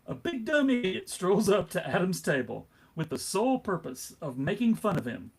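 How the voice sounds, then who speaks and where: A male narrator with a high, animated voice and a rough, husky edge. He reads a comic book theatrically, with melodrama and a tongue-in-cheek wink. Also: moderate pace.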